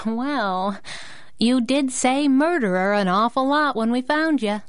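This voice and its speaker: A woman's voice: on the high side, unhurried, clear, resonant tone, dry recording, studio quality, lightly acted. A woman playing a plucky, wisecracking young crime-fighting heroine in a 1930s-style radio drama, with a wry, sassy delivery. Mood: sympathetic, probing. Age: young adult.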